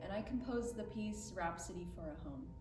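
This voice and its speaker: A woman's voice: medium pitch, moderate pace. A woman composer from the United States, talking in a reflective, hesitant way about her piece. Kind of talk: interview talk. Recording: roomy echo from far off with music.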